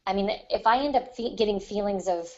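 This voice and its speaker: A woman's voice: mid-range voice, a little fast, highs cut off. A woman on a podcast panel talking casually and reflectively about her craft, explaining things at length. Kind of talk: casual discussion of writing craft.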